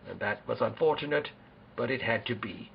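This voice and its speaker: A man playing a proud, solemn ancient Spartan king who speaks formally and deliberately in an amateur role-play interview. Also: mid-range voice, vocal fry, highs cut off, a little hiss or hum, lightly acted.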